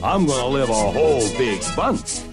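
A man's voice: medium pitch, sing-song, normal speed, lightly acted. Man playing a wisecracking career serviceman over music. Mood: grumbling, jokey. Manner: jokey, punchline delivery. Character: wisecracking career serviceman, middle-aged, henpecked husband. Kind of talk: comedy sketch radio spot.

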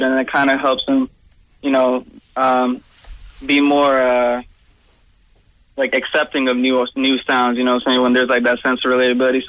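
Laid-back young man talking fast. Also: medium pitch, a bit bright, no echo, dull audio. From Pakistan-born, raised in Virginia and Toronto area. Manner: laid-back, loose, heavy on "like" and "you know what I'm saying". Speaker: guest musician. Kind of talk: casual interview answers.

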